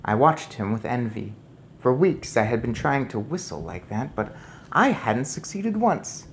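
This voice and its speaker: A man's voice: medium pitch, sing-song, unhurried, noisy background. A man narrating a children's story expressively as he reads aloud. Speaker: storybook reader.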